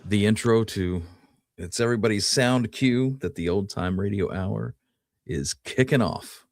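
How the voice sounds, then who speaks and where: A man with a low-pitched voice talks casually as the co-host of a podcast. He is laid-back and conversational. The sound is dry, without room echo. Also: unhurried. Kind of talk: casual chat.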